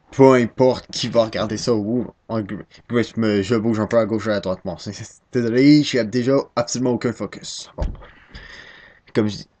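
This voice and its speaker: Rambling young Québécois man, lowish voice. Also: darkish tone. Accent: Québécois French. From Quebec, Canada. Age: 19, young adult. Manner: self-deprecating, hesitant, many restarts. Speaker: first-time vlogger. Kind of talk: casual rambling webcam talk. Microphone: webcam.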